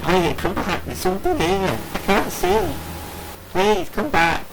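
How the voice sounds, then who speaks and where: Male actor as distressed British station manager, slow delivery, urgent pleading, noisy phone call, horror radio play. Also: high voice, huge pitch swings, vocal fry, fairly bright tone, exaggerated voice. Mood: frightened pleading.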